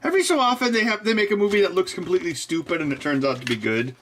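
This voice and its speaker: A man's voice: high voice, expressive, rapid-fire, fairly bright tone. A man hosting a live stream, rambling casually and joking, laughing often.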